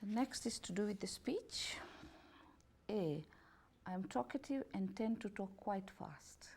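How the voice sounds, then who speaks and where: Gravelly, mellow-voiced woman reading options. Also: mid-range voice, lively intonation. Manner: unhurried, reads options as A, B and C. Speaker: holistic health and beauty therapist. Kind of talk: reading out a questionnaire.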